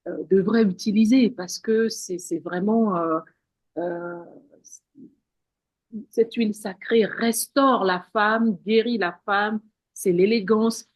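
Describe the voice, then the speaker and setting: A woman's voice: medium pitch, fairly even intonation, slow pace, dry recording, rough recording. A woman speaking as an interview guest in an earnest spiritual tone, who often repeats words and restarts her sentences. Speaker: guest, women's wellness practitioner.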